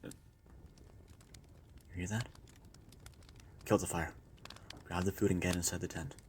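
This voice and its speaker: A weary survivor of the zombie apocalypse, played by a man, speaks in a fairly low voice. He is a loyal partner in an intimate audio roleplay, rambling reflectively as if in private conversation. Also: steady tone, measured pace, darkish tone, a little hiss or hum. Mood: hushed, wary. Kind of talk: intimate audio roleplay, one-sided dialogue.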